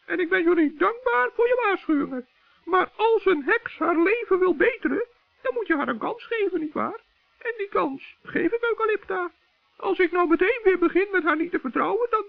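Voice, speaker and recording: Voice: extremely high pitch, lively intonation, normal speed, gravelly, no echo, exaggerated voice. An actor playing a kind, trusting, earnest little male gnome in a children's radio play. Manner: earnest, good-natured, determined. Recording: muffled old narrow-band radio recording.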